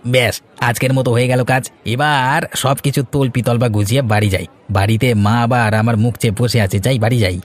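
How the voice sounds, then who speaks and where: A man's voice: mid-range voice, steady tone, a little fast, close to an everyday voice. A man voicing a talkative fishmonger in a cartoon, contented and self-satisfied about his trade. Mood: content, proud. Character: chatty, proud male fishmonger, content and grateful. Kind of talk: Bengali cartoon dialogue and monologue.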